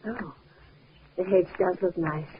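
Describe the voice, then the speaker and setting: A woman's voice: lowish voice, measured pace, natural voice. A woman playing a genteel young wife, proud and tender, in a 1950s radio drama, with polite, emphatic delivery. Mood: anxious, pleading. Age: young adult. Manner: polite, well-bred, emphatic. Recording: tinny, noisy 1950s radio recording.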